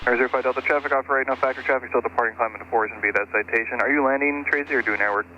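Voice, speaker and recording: Muffled radio, male approach controller, extremely rapid procedural patter, forceful tone. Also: mid-range voice, steady tone. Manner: brisk procedural delivery. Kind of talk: air traffic control advisories. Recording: dull aviation radio with faint noise.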